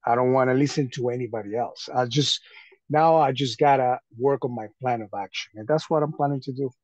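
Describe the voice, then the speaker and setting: A man from Venezuela talking casually on a group video call, haltingly, often repeating his phrases. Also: mid-range voice, a little mellow, no room sound. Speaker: call participant. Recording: dull lo-fi call audio.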